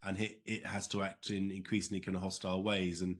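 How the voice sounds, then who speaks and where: A man's voice: low-pitched, little pitch movement, a little fast. A British man answering as an interview guest in spontaneous talk, often saying "you know". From United Kingdom. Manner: hedging with frequent "you know". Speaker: interview guest, former diplomat.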